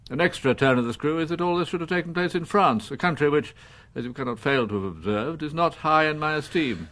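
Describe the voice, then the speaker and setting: An older man with an English accent, acting a proud, icy judge in a radio drama, with formal, measured, pompous diction. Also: a little high, expressive, fairly bright tone. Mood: wounded, coldly ironic.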